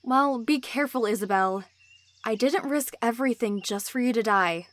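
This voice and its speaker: A woman's voice: a little high, moderate pace, close to an everyday voice. A woman playing a protective young supernatural woman who keeps secrets, earnest and pleading, in amateur roleplay acting. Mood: serious, concerned.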